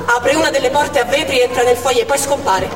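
An actress as an anxious high-voiced doctor mother, echoey. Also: steady tone, brisk, close to an everyday voice. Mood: alert, tense. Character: anxious woman ambulance doctor, protective mother outside the siege. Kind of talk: stage monologue, present-tense dramatic narration. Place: theatre stage. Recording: echoey theatre from far off, music behind.

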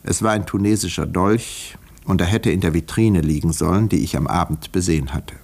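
Low-voiced, wry village doctor. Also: male voice, a little fast, creaky, a little mellow, breathy edge, full character voice. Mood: wry, measured. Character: male village doctor recounting a murder case, wry, measured. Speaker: narrator of a radio play. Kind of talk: scripted first-person narration, 1950s radio acting.

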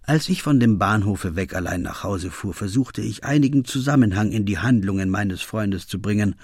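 Detective's loyal companion and narrator: husky, lowish, measured, dry studio recording. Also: male voice, darkish tone, no room sound. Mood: measured, reflective. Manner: measured, literary storytelling. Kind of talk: scripted radio play narration.

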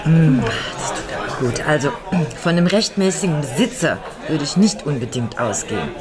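An actress playing a composed, shrewd amateur sleuth who reasons in a measured way in a German radio crime drama. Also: female voice, deep voice, lively intonation. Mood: shrewd, pondering. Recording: music and crowd chatter behind.

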